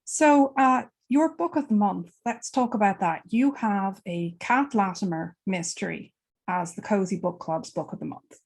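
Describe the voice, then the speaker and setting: Irish woman, mid-range pitch, conversational book club interviewer. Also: a little slow, creaky, darkish tone. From Ireland. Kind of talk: casual interview chat.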